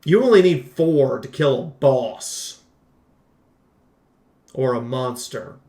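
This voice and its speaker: Male game reviewer, mid-pitched, rambling and casual with animated slangy asides, recorded in an echoey room. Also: slow pace. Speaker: video game reviewer and streamer. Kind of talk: casual unscripted game commentary.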